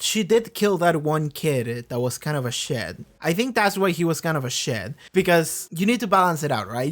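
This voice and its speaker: Man rambling casually, higher voice. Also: a bit bright. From Argentina. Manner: loose, swearing, many fillers and restarts. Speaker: reaction video host.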